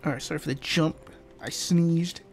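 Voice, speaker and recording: A man's voice: fairly high pitch, fairly even intonation, moderate pace, faint music behind. Congested nasal young man narrating gameplay. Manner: rambling, filler-heavy explaining. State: congested from allergies. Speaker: gaming channel host. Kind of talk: casual gameplay commentary. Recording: noisy home recording.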